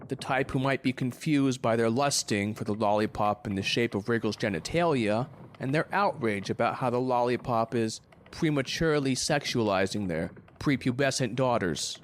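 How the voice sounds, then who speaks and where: A man's voice: mid-range voice, moderate pace, few pauses, natural voice. A man acting in a conversational stage drama, playing a glib, crude, wounded ex-lover who rambles and needles. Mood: teasing, mock-earnest. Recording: faint background noise.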